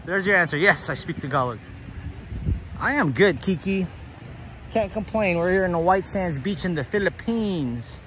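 Male livestream host, fairly high voice, laid-back and chatty, recorded walking outside with noticeable ambient noise. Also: animated, normal speed, a bit bright. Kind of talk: casual livestream chat, greeting viewers. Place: outdoors, walking along a beach. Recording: noisy outdoor ambience, breezy.

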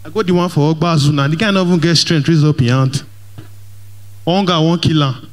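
Pidgin-accented young comedian on stage. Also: male voice, on the high side, brisk. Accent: Nigerian Pidgin English. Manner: lively, mixes Pidgin and English, plays to the crowd. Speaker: stand-up comedian. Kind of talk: stand-up comedy. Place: large church hall stage. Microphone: handheld microphone.

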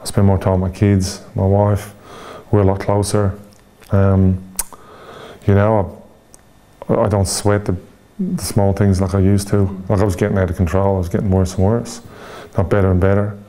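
Irish man, forties, candid interview, many fillers and restarts, mellow tone. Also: deep voice, level tone, moderate pace. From Ireland. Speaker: interview guest.

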